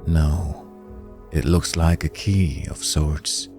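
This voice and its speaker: A man's voice: rumbling low, takes it slowly, creaky, darkish tone, faint music behind, full character voice. Man playing a composed, mysterious male stranger. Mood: knowing.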